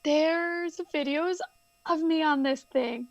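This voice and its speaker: Actress as a high-voiced, sincere young woman. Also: slow pace, dry recording, dull audio. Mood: nostalgic, friendly. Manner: hesitant, sincere. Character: young woman, uncertain, sincere daughter and friend. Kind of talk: radio drama acting.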